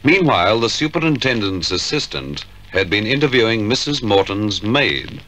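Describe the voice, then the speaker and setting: Male narrator, low and forceful, formal deliberate storytelling, vintage crime serial with faint hiss. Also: very animated, rough recording. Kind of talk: scripted 1950s radio crime drama narration. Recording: hissy 1950s radio recording.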